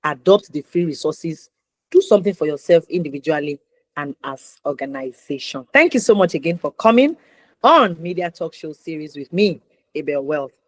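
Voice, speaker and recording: A woman's voice: low-pitched, lively intonation, normal speed, no echo. A woman with a Nigerian accent hosting a conversational interview show, encouraging and emphatic, repeating points for stress. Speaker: talk show host.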